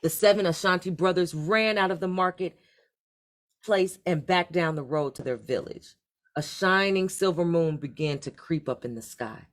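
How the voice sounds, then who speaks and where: A woman reading a children's story aloud in an expressive, deliberate storytelling manner. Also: fairly low pitch. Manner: animated, deliberate read-aloud delivery. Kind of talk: expressive scripted storybook narration.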